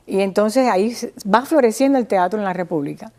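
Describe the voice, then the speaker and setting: A woman from Cuba with a Cuban accent gives an informal, didactic talk, pausing and restarting and often checking with her listener. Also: medium pitch, a little mellow. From small town in Cuba. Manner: didactic, halting, checks with listener using tag questions. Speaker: guest speaker on Cuban theatre history.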